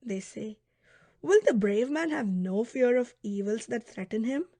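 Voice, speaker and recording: Female narrator for philosophical audiobook; fairly high voice, deliberate scripted reading, dry booth-like sound. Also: lively intonation, dark tone, no echo.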